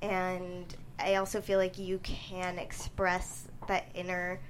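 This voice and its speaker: A young woman answering interview questions as a guest writer, in a halting, thoughtful way. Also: fairly low pitch. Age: young adult. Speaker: guest screenwriter and playwright. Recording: steady background noise.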